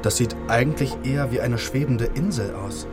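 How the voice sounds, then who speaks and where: A man's voice: mid-range voice, moderate pace, loud music behind the voice, lightly acted. A man playing a fussy, middle-aged son who scolds and explains in a radio drama. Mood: marvelling, explanatory. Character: exasperated, fussy grown son shepherding his mother.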